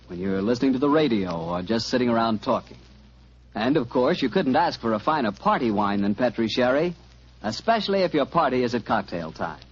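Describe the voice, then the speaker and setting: A man announcing on 1940s radio as host, delivering a polished sales pitch. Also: slightly rough. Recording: dull 1940s radio broadcast recording.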